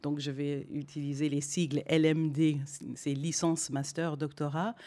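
A woman's voice: low-pitched, little pitch movement, moderate pace, dark tone. A middle-aged woman whose first language is English, talking to an audience about her path as a singer, hesitant with many fillers and restarts. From Canada. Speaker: guest singer and voice teacher. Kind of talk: spontaneous talk to an audience.

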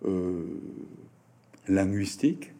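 Slow, hesitant man. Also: deep voice, dark tone. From Japan. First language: Japanese. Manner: hesitant, halting, with frequent fillers and restarts. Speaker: writer and French teacher, interview guest. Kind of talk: interview answers.

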